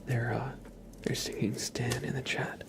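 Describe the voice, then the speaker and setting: A man hosting an ASMR livestream, chatting casually and gently to viewers in a breathy whisper. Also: little pitch movement, a little slow, background noise. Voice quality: whispered, breathy, with some soft creaky low voicing. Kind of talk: ASMR, soft casual chat to live viewers.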